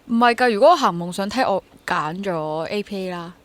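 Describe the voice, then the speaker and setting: A woman's voice: medium pitch, expressive, brisk, no room sound. A woman in her twenties with a Hong Kong Cantonese accent, talking candidly and self-deprecatingly as a guest in a casual interview. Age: 20s. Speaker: guest, stage actor.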